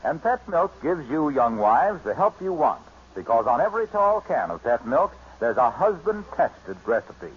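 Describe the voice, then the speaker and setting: Vintage American male announcer, scripted sponsor reads with lively melody and husky tone; dull 1950s broadcast sound. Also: on the high side, normal speed. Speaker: radio announcer. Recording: muffled 1950s radio recording.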